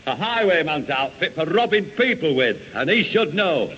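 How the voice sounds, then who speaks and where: High-voiced blustering Northern English father. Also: sing-song, moderate pace, sharp tone. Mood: exasperated. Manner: blustering, sarcastic, grumbling. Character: exasperated, threatening working-class father, sarcastic grown man. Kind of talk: 1970 radio sitcom acting. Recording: muffled noisy vintage radio recording.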